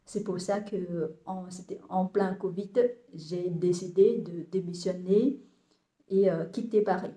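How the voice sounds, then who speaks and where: A woman in her thirties from Vietnam, speaking French with a Vietnamese accent, describing her compost business to camera and often pausing on fillers and restarts. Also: fairly low pitch, fairly even intonation, warm tone, echoey room. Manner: hesitant, many fillers and restarts. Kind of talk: casual talk to camera, halting.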